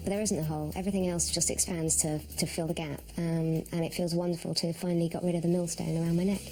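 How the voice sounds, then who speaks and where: A woman's voice: lowish voice, flat delivery, quick pace, dark tone, rough recording. A woman presenting and interviewing in a documentary, speaking thoughtfully and searching for her words. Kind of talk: documentary interview and piece to camera.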